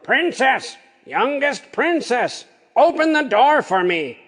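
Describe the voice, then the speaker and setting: Man putting on a high, insistent storybook frog. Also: very animated, slow, a bit bright, full character voice. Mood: pleading, calling out. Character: pleading, insistent frog, an enchanted creature. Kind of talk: storybook character voice. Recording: long hall-like reverb.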